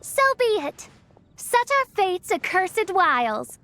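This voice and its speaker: A woman's voice: very high-pitched, very animated, slow, sharp tone, faint music behind, exaggerated voice. A woman voicing a theatrical teenage girl who styles herself a princess in a video game, portentous and archaic in her speech. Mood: grandiose, doomful. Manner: grandiose, archaic diction, portentous. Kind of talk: video game character acting.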